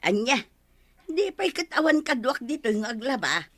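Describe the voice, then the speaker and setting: A woman with an Ilocano accent playing a loud, teasing village customer in a comic radio drama. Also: fairly high pitch, sing-song, moderate pace, a little hiss or hum.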